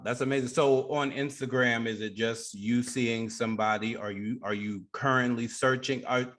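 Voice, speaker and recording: A man's voice: fairly low pitch, a bit bright. A man hosting a radio show, interviewing a guest in a casual on-air style.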